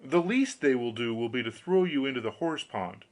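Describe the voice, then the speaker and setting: A crafty storybook countryman, played by a male reader. He sounds folksy and confiding, pressing his case with a show of grave concern and widely moving pitch. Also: lively intonation, moderate pace, natural voice. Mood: grave warning. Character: sly countryman feigning grave, friendly concern.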